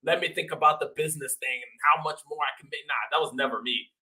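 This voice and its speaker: A man's voice: a little high, fast talker, bright, forceful tone. A man from Nigeria who moved to the States as a boy, chatting as an interview guest in an informal, slangy storytelling way. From Nigeria until age twelve, then the United States. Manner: informal, slangy, quoting himself and others. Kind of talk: casual storytelling chat.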